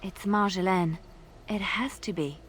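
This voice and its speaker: An actress playing a wary, wronged young adventurer in a fantasy game, earnest and intense. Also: female voice, medium pitch, unhurried, a bit bright, a little hiss or hum, natural voice. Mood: brooding, resolute. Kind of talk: video game dialogue acting.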